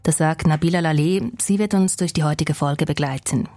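A woman hosting a news podcast, reading a scripted narration in a calm, measured way. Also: low voice, dry recording, faint music behind. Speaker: podcast host.

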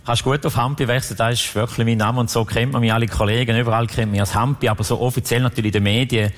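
A man's voice: mid-range voice, steady tone, very fast. A middle-aged man from Appenzell speaking Swiss German dialect, a former police spokesman, easygoing and humorous. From Appenzell, Switzerland. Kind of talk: casual interview talk.

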